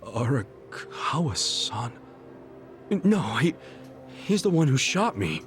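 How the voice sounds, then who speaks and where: A man's voice: mid-range voice, very animated, takes it slowly, faint music behind. An actor playing an earnest, impulsive young male hero who keeps blurting out questions. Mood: baffled.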